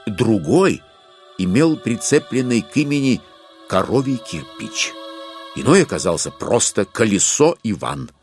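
A man's voice: medium pitch, very animated, unhurried, faint music behind. A man narrating a literary audio play as a storyteller, in a studio production.

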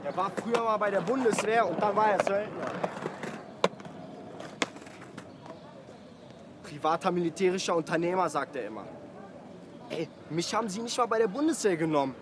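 Excitable naive youth, highish voice, slangy, clear street noise behind. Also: male voice, fast talker. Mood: aggrieved. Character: excitable, naive young male hanger-on from the youth club. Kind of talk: slangy interview-style radio drama monologue.

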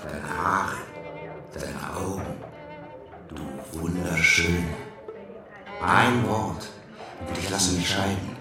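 A man's voice: low-pitched, drawn-out words, pauses often. An actor playing a lovestruck married man in a radio play, declaring his love ardently. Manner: ardent, pleading. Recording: echoing voice over music.

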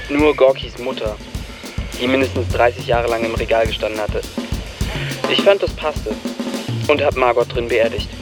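A man acting a wry young slacker telling their own story in a radio play. The voice is medium-pitched and colloquial, with confessional, hesitant asides. Drum-led music plays clearly behind it. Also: natural voice. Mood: wry, reflective. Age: young adult. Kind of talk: first-person radio drama narration.

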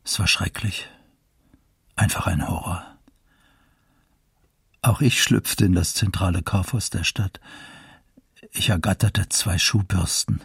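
An actor playing an elderly eyewitness, plainly recollecting wartime horror as interview testimony in a documentary radio drama. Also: male voice, low voice, fairly even intonation, takes it slowly, vocal fry, pauses often, breathy edge, dry recording, studio quality, exaggerated voice. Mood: troubled recollection. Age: older.

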